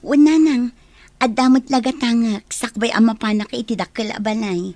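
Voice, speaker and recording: A woman's voice: fairly high pitch, fairly even intonation, normal speed, dark tone, no room sound, natural voice. A woman playing a dutiful, outspoken young daughter who works as a maid, speaking candidly in an audio drama. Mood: caring, earnest. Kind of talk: Ilocano radio-style drama.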